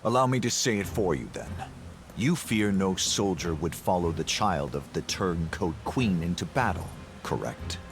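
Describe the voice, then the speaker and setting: A man's voice: low-pitched, expressive, moderate pace, slight background noise. An actor playing a stern, commanding king in a video game cutscene, speaking formally and with authority. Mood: stern, confronting. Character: stern, commanding king addressed as His Majesty.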